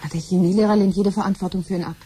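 An actress playing a gentle, worried middle-aged mother in a 1970s German radio play, soothing and pleading. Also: medium pitch, steady tone, racing, a little hiss or hum, natural voice.

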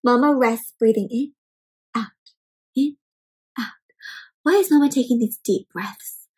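High-pitched woman reading aloud to children. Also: a little slow, slightly rough. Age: young adult. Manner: animated, expressive, addressing children with questions. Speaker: children's story time host and reader. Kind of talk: interactive read-aloud for young children.

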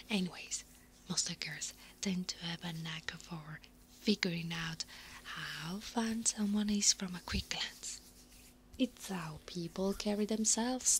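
Soft whispering centaur woman, acted by a woman, teasing. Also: on the low side, a little hiss or hum. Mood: fond, gentle. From Italy. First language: Italian. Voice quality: breathy, often whispering. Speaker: ASMR roleplay performer. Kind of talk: ASMR roleplay.

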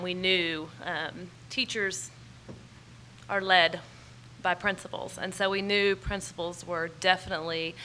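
An American woman with a fairly low, bright, forceful voice explains policy as a conference panelist. She speaks emphatically into a table mic, with some faint noise from the room. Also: rough recording. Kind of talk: unscripted panel answer. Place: large conference ballroom stage. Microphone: gooseneck table microphone.

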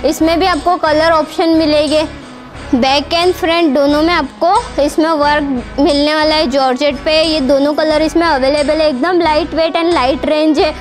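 A woman's voice: high-pitched, steady tone, moderate pace, faint music behind. A young woman presenting outfits in a shop, with upbeat and repetitive sales patter, recorded on a lapel mic. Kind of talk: product showcase sales pitch. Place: indoor shop with green wall.

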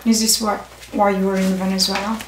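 A young woman playing a stern, methodical airport security officer in a soft-spoken ASMR roleplay, deliberate and deadpan. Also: medium pitch, close to an everyday voice. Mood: stern, procedural. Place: small indoor room set. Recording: roomy, distant, noisy with clicks.

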